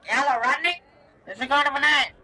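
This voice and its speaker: A man acting as a befuddled protest organizer phoning into a comic radio newscast. His voice is very high and piercingly sharp, and he rambles loudly down the phone line, muddled about everything. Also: a little fast, heavily acted. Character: confused, loud male protest organizer on a phone call. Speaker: news call-in guest.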